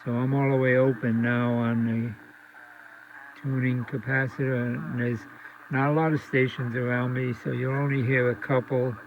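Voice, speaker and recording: Man talking haltingly, dull noisy recording. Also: mid-range voice. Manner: halting, thinking aloud. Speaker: radio repair hobbyist demonstrating. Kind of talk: casual demo narration. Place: indoors, basement. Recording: dull audio with steady background noise.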